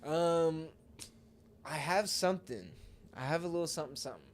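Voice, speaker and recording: A man's voice: a little high, lively intonation, normal speed, slight background noise. A man co-hosting a podcast, chatting casually with plenty of slang and occasional swearing. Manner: slangy, profane. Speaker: podcast co-host.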